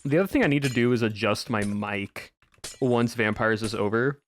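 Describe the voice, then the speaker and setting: A man talks casually to his stream chat through a home streaming microphone. His delivery is somewhat monotone and flat, and he rambles and restarts his sentences as he goes. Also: fairly low pitch, normal speed, a little hiss or hum. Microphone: home streaming microphone with a filter.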